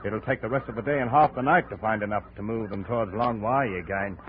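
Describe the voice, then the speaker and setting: A man acting a seasoned, hard jungle guide with a gruff, domineering manner in a 1950s radio drama. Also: on the low side, fast, lightly acted. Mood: grim, knowing. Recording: scratchy, narrow-band 1950s radio recording.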